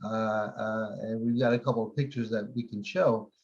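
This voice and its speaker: A man from Morocco hosting a casual interview, talking quickly and repeating words as he searches for them. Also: lowish voice, steady tone, a little mellow. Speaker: host. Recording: dull lo-fi sound.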